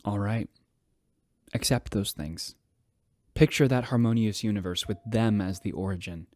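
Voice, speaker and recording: A man voicing a calm, reflective member of a spiritual order. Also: lowish voice, normal speed, no room sound, studio quality, close to an everyday voice.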